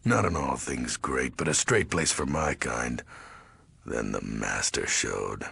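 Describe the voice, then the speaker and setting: An actor playing a menacing, crazed undead ghoul gang boss in a video game, very raspy and gravelly, talking in clipped street slang. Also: male voice, rumbling low, animated, takes it slowly, pushed and bright, no echo, full character voice. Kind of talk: video game character acting.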